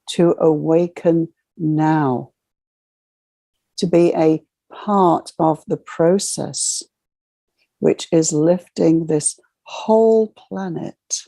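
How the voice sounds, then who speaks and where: A woman leading a channeled guided meditation, speaking as a solemn spiritual guide in measured, ceremonial short phrases. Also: low voice, creaky, mellow, no echo.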